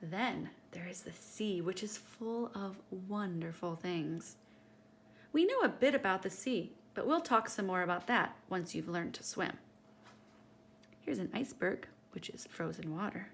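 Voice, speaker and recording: Animated woman reading a children's picture book. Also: medium pitch, unhurried, slight background noise. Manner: animated, teacherly, addressing young listeners directly. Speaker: storytime host reading aloud to children. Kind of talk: expressive children's picture-book read-aloud.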